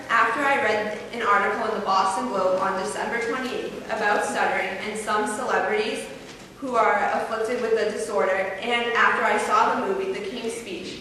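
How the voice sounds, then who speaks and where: A woman's voice: mid-range voice, fairly bright tone. A teenage student with a mild stutter delivers a formal, carefully paced speech. Her voice sits mid-range and is picked up from a distance, with a long, roomy hall echo around every word. A faint hiss sits underneath. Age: teens. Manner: formal, carefully paced, occasional repeated words. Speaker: student speaker. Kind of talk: prepared speech to an audience. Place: large hall, heard from far off.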